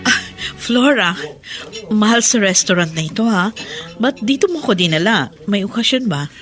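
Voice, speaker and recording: A woman's voice: medium pitch, expressive, moderate pace, fairly bright tone, natural voice. A woman acting a concerned, curious friend over music. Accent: Filipino. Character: concerned, curious middle-aged female friend, supportive. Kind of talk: Tagalog radio drama acting.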